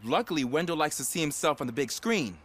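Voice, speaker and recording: An anxious young rookie cop from a game. His voice is a little high and moves around a lot, as he tries to be helpful. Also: lively intonation, fast talker, fairly bright tone, slightly rough. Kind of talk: video game cutscene acting.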